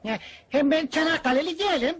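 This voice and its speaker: An actor playing a naive, put-upon young worker in a comedy film, speaking in a simple, plaintive, deferential way. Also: male voice, very high voice, quick pace, fairly bright tone. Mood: meek protest. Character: naive, put-upon young male hired goalkeeper, meekly protesting. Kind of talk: comic film dialogue.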